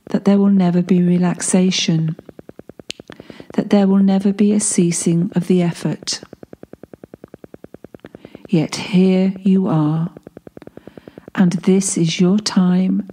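A woman guiding a meditation in a calm, soothing way, leaving long pauses between phrases. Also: lowish voice. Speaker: guided meditation instructor and life coach. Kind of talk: guided meditation narration. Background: soft healing-frequency sound.

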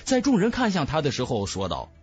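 A man's voice: on the high side, wildly swooping pitch, a little fast, a little mellow, husky, soft background music. A man narrating a fantasy novel as a scripted audiobook, with emphatic, dramatic storytelling.

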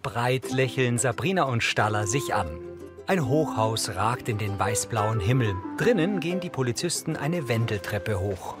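A man's voice: medium pitch, expressive, moderate pace, natural voice. A man reading scripted audio description narration for a TV episode. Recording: music behind the narration. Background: music.

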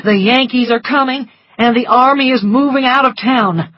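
A woman doing a weary, gruff doctor. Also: on the high side, no echo, lo-fi sound, pitched above the performer's own voice, close to an everyday voice. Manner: slow, weary, curt. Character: exhausted, gruff middle-aged male doctor.

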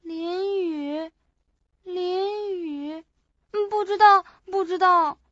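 A very high, slow young female voice, acted by a woman, timid and confused. She sounds childlike and hesitant, as in a suspense radio drama. The sound is dry and a little dull. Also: a bit bright, no room sound, heavily acted. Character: frightened, confused young woman with memory loss.

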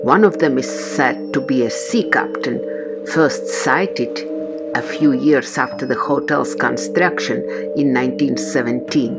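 A woman reading a scripted tour narration in a smooth, even voice-over, with loud music behind her. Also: a bass voice, unhurried.

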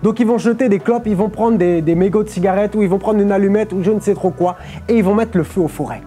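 A man's voice: high voice, quick pace, mellow, faint music behind. A young man from Portugal preaching a sermon from a studio lectern, explaining step by step and checking in with his listeners often. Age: young adult. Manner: explanatory, peppers phrases with "ok?" and "amen". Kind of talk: sermon on a live stream. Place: studio stage with a clear lectern.

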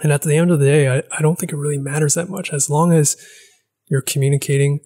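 A young man with a gravelly edge to his voice rambles thoughtfully through a casual vlog monologue. He speaks into a podcast mic in a small living room. He pauses, restarts and searches for words as he goes. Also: a little high, fairly even intonation, dark tone. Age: young adult. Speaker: language-learning vlogger. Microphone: boom-arm podcast mic.

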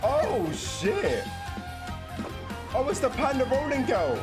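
A young man giving casual, slangy, excitable reaction commentary with some swearing, as a streamer at home. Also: very high voice, slow. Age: young adult. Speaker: reaction video host and streamer. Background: game trailer music and sound.

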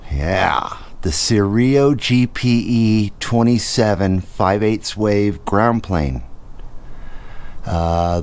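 A man's voice: sits low, a little mellow. A middle-aged American man giving a laid-back, conversational vlog walkthrough outdoors. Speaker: hobbyist video host. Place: outdoors in a residential yard and on a roof. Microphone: camera-mounted microphone with furry windscreen. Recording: outdoor ambient noise.

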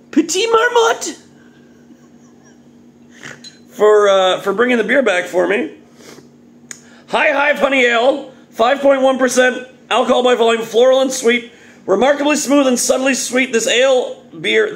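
A man in his thirties hosting a casual, off-the-cuff video review in a jokey, exclamatory way, recorded in a home kitchen at arm's length. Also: very high voice. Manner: animated, exclamatory, jokey delivery with muttered asides. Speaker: beer reviewer and vlog host. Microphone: phone or webcam at arm's length.

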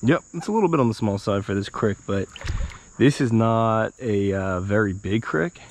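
A man's voice: fairly low pitch, normal speed. An American man in his thirties chatting casually and folksily to viewers as a fishing vlogger, outdoors on an action camera. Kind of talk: casual vlog chat while fishing. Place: outdoors by a small wooded creek. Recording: outdoor noise with insects and ambience.

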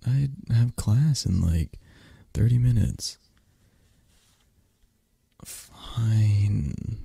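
A man voicing an affectionate, teasing young male dragon in an intimate ASMR roleplay, speaking in a soft, gentle, drowsy murmur. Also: on the low side, gravelly, husky, dry recording, clean studio sound, exaggerated voice. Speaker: roleplay audio performer.

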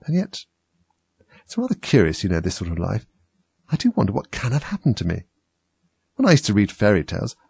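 A man's voice: mid-range voice, creaky, a little mellow, husky, no echo, heavily acted. A man voicing a chatty young girl who muses earnestly and plaintively to herself in a storybook. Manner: musing, earnest. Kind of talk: storybook character voice.

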